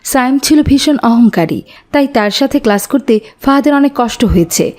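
A female narrator tells a scripted cartoon story. Her voice is pitched a little above the usual for women. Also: expressive, normal speed, darkish tone.

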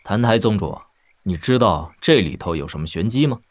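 An actor playing a cheeky, curious young lord in a martial-arts audio drama, with a conversational, teasing manner. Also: male voice, lowish voice, expressive, normal speed, no echo.

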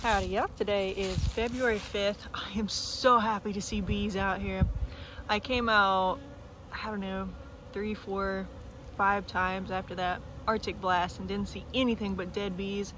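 Young woman, mid-pitched, casual farm vlogger on a handheld phone outdoors, chickens clucking clearly behind her. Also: steady tone, a little slow, background noise. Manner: chatty, talks to her chickens in a soft coaxing voice. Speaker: homestead vlogger. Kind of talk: casual vlog narration. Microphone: phone camera held at arm's length. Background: clucking chickens and roosters.

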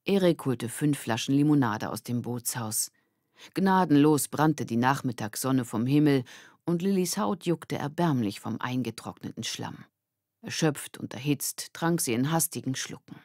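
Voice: mid-range voice, lively intonation, unhurried, a little mellow, dry recording, studio quality. A narrator reading a scripted children's story. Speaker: audiobook narrator.

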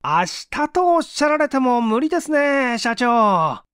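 Man playing an anxious, high-pitched young male engineer. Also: very animated, unhurried, fairly bright tone, clean tone, dry recording, studio quality, heavily acted. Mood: troubled. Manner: polite, apologetic, hesitant.

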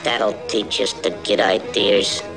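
A man's voice: lowish voice, steady tone, measured pace, close to an everyday voice. A man voicing a short-tempered cartoon gangster boss, tough, curt and menacing. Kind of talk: classic theatrical cartoon acting. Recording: old cartoon soundtrack with loud music.

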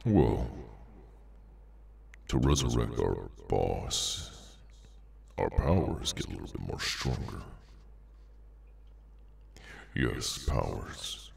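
A man voicing a weary, menacing demonic henchman in an ASMR audio roleplay, sardonic and low-key, with a gravelly voice. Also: big pitch swings, slight background noise, full character voice. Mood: calm menace. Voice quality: very deep, gravelly, creaky. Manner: slow, low-key, casually sinister, sardonic.

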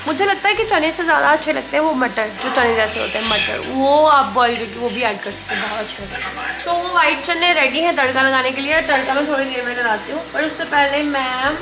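A woman's voice: sits high, fairly quick. A woman, chatting casually as she cooks and slipping English words into her talk. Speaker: vlogger and home cook. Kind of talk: casual chat while cooking, mixing in English. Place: home kitchen, indoors. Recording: echoey kitchen with clear appliance noise.

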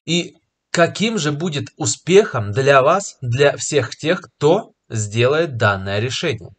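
A young man who is slightly ill and clears his throat now and then, confidently talking viewers through a price chart, recorded on a webcam in a small room. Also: medium pitch, expressive. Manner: confident, persuasive, pausing with filler words. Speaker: crypto market commentator. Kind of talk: screen-share market analysis to camera. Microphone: webcam in a corner of a screen recording.

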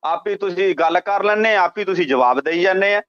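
A man in his forties argues on the phone with a very high-pitched voice. He scolds and protests indignantly. The sound is dull, like a radio or phone line. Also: normal speed, a bit bright, smooth. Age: 40s. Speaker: prank call target. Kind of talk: phone argument.

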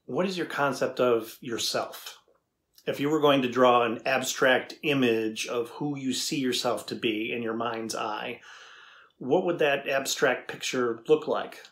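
A man acts as a middle-aged male researcher in a dramatized interview. His voice sits at an average pitch. He is earnest and curious, putting each question deliberately. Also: fairly bright tone. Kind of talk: dramatized transcript reading.

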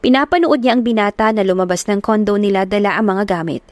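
A woman narrating a romance audiobook in a steady, earnest storytelling manner, recorded on a close mic. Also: medium pitch, fast, no echo.

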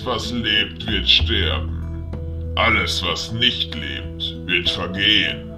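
A man playing a diabolical, dominant general with a deep voice, slow and menacing, in a fantasy audio drama. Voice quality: deep, breathy at times. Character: diabolical, dominant undead-allied general, nihilistic, gloating. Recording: music behind the voice.

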